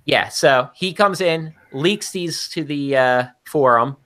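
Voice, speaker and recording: Guest: man, high-pitched, bright, profane ranting storyteller. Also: slow. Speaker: podcast guest.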